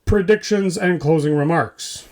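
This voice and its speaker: A man's voice: fairly high pitch, a little slow, darkish tone. A man hosting a comedy podcast, reading scripted mock news in a theatrical, tongue-in-cheek way with punchy short phrases.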